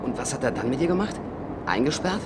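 A woman's voice: very deep voice, big pitch swings, fast talker. An actress playing a young, slangy, skeptical backpacker woman in a naturalistic radio drama. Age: young adult. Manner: casual, slangy. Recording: loud train station noise behind.

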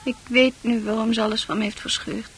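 An actress playing a dreamy, sensitive, troubled young woman in a Dutch radio play, confiding in earnest, halting, poetic speech. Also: fairly high pitch, little pitch movement, slight background noise, natural voice. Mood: troubled, subdued.

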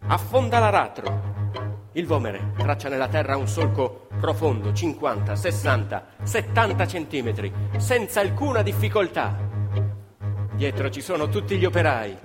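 Male stage storyteller, high register with big melodic swings, emphatic repetitions, live music behind him. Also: sing-song, a little slow. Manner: emphatic, repeats phrases for effect. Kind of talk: dramatic narrative theatre storytelling. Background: live violin, piano and double bass music.

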